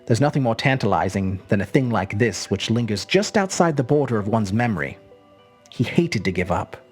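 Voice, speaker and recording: A woman narrating a literary short story as an expressive storyteller. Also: rumbling low, fairly quick, breathy edge, faint music behind.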